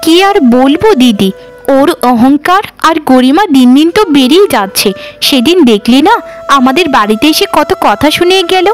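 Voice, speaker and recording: A woman's voice: high voice, animated, normal speed, faint music behind. A woman voicing an earnest, lamenting younger village sister-in-law in a cartoon. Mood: resigned. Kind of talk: Bengali cartoon dub acting.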